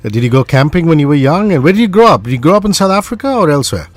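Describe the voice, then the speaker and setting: A man's voice: on the high side, big pitch swings, very fast, no room sound. A man hosting a morning radio show, chatty and joking with his guest. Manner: jokey, chatty, laughs often. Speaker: radio host. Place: radio studio.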